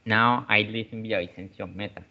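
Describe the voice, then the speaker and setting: A man's voice: on the low side, fairly even intonation, moderate pace, muffled recording. A man, a student giving brief, plain answers over a video call. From Caquetá, Colombia.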